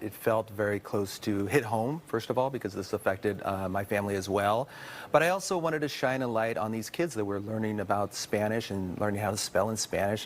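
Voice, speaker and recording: Male artist as interview guest, fairly low voice, conversational, with background noise.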